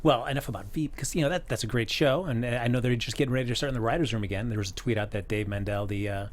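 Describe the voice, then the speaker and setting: A man's voice: medium pitch, racing. A man hosting a casual celebrity interview, upbeat and chatty, laughing often. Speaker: host.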